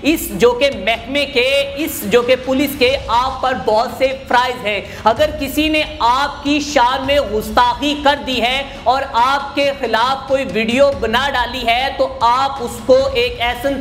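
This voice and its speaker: A woman's voice: high voice, a bit bright. A woman with a Pakistani accent delivers indignant news commentary in a rhetorical, admonishing manner. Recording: roomy echo, faint background noise.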